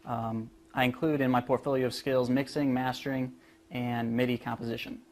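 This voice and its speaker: Young man presenting, medium-pitched voice. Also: level tone, moderate pace, a little hiss or hum. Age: young adult. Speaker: student presenter. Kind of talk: prepared project presentation.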